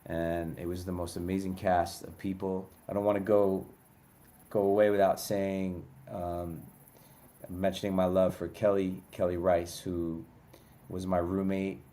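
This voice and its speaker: A man's voice: sits low, little pitch movement, measured pace, mellow. A man with a New York accent giving a heartfelt recorded video greeting to his former castmates. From Brooklyn, New York. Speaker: former cast member sending a video message.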